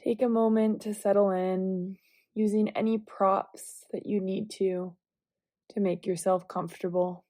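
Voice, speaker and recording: A woman leading a guided visualization meditation, giving calm, gentle, measured instructions with long pauses between phrases. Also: mid-range voice, no echo, studio quality.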